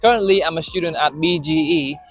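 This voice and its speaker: A man's voice: a little high, a little fast, soft background music. A man in his twenties from Vietnam, a student intern, explaining his company in a friendly way. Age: 20s.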